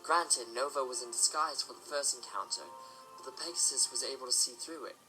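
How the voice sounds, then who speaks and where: A man's voice: mid-range voice, fairly quick, over music, lightly acted, rough recording. A man playing a dutiful, earnest military stallion in an audio drama, with a formal, precise way of speaking.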